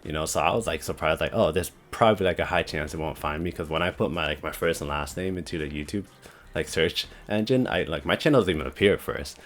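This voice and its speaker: A young man talking casually to the camera about starting a channel, with a deep, monotone voice, rambling with lots of "like" and "you know". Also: fast. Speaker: YouTube creator. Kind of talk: casual talking-head vlog.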